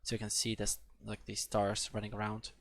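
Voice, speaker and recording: A man's voice: fairly low pitch, monotone, fairly quick, vocal fry. A young man with a Polish accent, giving a live coding tutorial in a hesitant, filler-heavy way with frequent restarts. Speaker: coding instructor.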